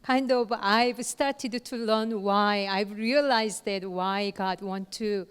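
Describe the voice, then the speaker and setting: Slow, clear-voiced woman lecturing. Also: mid-range voice, steady tone. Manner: slow, short phrases with pauses and fillers. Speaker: guest lecturer. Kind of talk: lecture in English, paused for interpreter. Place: lecture hall. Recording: long hall reverb.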